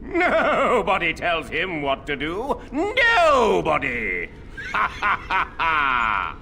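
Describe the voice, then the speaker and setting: An unhinged uncle in a video game who cackles and drags out every word. His voice is gravelly and piercingly bright, its pitch swinging wildly. Faint ambience sits behind. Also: wildly swooping pitch, slight background noise, exaggerated voice. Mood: manic. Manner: cackling, drawn-out.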